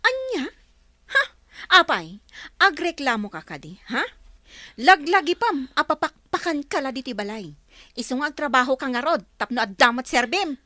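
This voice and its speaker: A woman's voice: high-pitched, big pitch swings, moderate pace, pushed and bright, no room sound, studio quality, heavily acted. An actress with a Filipino accent playing a spiteful, bossy young woman who scolds her cousin in a radio drama. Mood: angry, scornful. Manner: scolding, haughty.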